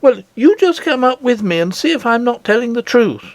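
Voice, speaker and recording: A man's voice: very high voice, big pitch swings, fairly quick, no echo, pitched above the performer's own voice, exaggerated voice. A man voicing an earnest, indignant little princess in a read-aloud storybook, eager and insistent in a childlike way. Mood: indignant, upset.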